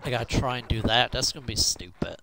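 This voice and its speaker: Male gamer, mid-pitched, thinking aloud in a casual mutter over audible noise. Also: fast, bright, forceful tone. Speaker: video game player. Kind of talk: casual gameplay commentary. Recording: clear background noise.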